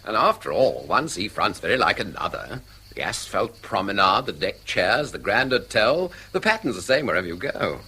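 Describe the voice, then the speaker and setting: A man's voice: fairly low pitch, big pitch swings, normal speed, bright, forceful tone, husky, exaggerated voice. A man with an English accent playing a courteous, jaded, inquisitive traveller in a radio drama, musing and politely probing. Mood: world-weary. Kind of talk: British radio drama acting.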